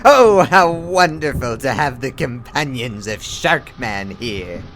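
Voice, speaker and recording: Slow, swooping gloating supervillain. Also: male voice, medium pitch, expressive, a bit bright, slight background noise. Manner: pompous, mocking. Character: gloating, triumphant male supervillain. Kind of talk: superhero radio play acting.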